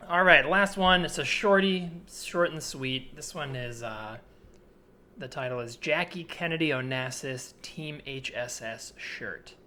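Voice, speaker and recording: A man's voice: mid-range voice, a little slow, sharp tone. An American man co-hosting a comedy podcast, chatting casually and riffing as he reads out short posts. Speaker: podcast co-host and improviser. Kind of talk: casual chat, reading and riffing on classified posts. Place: remote podcast recording.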